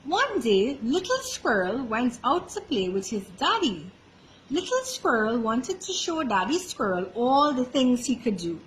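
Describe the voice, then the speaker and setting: Lady doing library storytime, high sing-song swings, takes it slow, really expressive. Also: a little hiss or hum, low-quality recording. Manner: expressive, animated. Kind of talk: children's storybook read-aloud.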